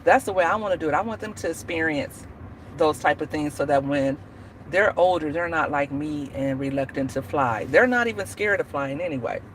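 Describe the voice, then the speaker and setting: A woman's voice: sits low, brisk, a little hiss or hum, low-quality recording. A woman in her forties chatting casually on a livestream, laughing often and talking to viewers by name. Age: 40s. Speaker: livestream host. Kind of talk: casual live chat.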